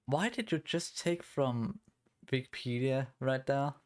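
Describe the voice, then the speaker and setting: A man's voice: medium pitch, animated, a little slow. A man from Denmark with a Danish accent, chatting casually on a live stream while he codes, blunt, swearing often and laughing.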